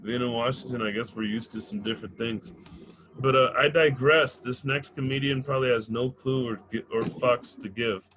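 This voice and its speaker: A man with a mid-pitched voice hosts a comedy show. He chats loosely with the crowd, swears casually and hypes up the next comedian. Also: steady tone, normal speed, fairly bright tone, slight background noise, poor audio.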